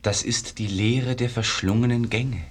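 An actor playing an earnest, solemn painter's disciple, speaking verse in a 1950s radio drama. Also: male voice, medium pitch, fairly even intonation, a little slow, natural voice.

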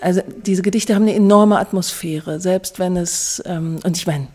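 A woman's voice: fairly low pitch, moderate pace, warm tone. A woman, a writer being interviewed, speaking spontaneously and reflectively, reaching for one word after another. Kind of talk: spontaneous spoken commentary.

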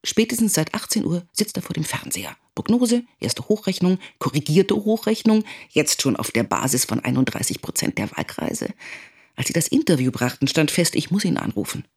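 A woman's voice: on the low side, very animated, moderate pace, a little mellow, husky, heavily acted. A woman playing an anxious, angry middle-aged mother who broods in a dramatic monologue. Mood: tense, resentful. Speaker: actress in a radio drama. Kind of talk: dramatic first-person monologue.

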